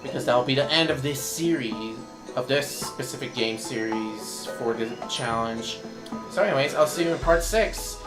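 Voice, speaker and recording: Hoarse male let's-play commentator, mid pitch, rambling and casual, roomy sound with game music underneath. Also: normal speed. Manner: rambling, thinks aloud while playing.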